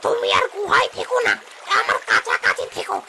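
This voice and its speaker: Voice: very high-pitched, huge pitch swings, fairly quick, gravelly, full character voice. A performer voicing a nervous, fawning crow scout in a cartoon, stammering, pleading and eager to please. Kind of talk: cartoon story dialogue. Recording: loud background noise.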